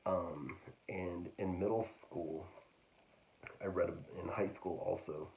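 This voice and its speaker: Low, gravelly man with hesitant chat. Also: steady tone, takes it slowly, a little mellow, low-quality recording. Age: adult. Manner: hesitant, full of ums and restarts. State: getting raspy, clearing throat. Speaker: book vlogger. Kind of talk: casual book haul talk.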